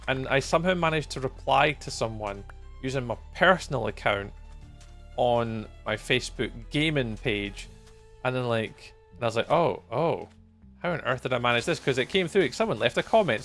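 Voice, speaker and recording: Gravelly Scottish man chatting on stream. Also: medium pitch, fairly bright tone. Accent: Scottish, softened. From Scotland. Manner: rambling, self-deprecating, many ums and restarts. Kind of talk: casual live-stream chat while gaming.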